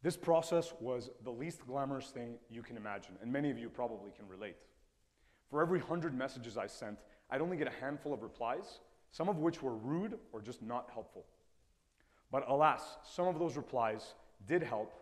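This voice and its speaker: A man's voice: mid-range voice, lively intonation, normal speed. A man in his twenties from Ecuador, a native Spanish speaker, telling personal stories in an earnest, reflective talk on stage. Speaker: TEDx speaker. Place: stage in a lecture hall. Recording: reverberant hall sound.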